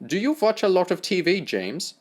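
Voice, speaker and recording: A man's voice: high-pitched, big pitch swings, normal speed, voice pushed up. A man voicing a stern sixty-year-old German army doctor with a German accent, blunt and scornful.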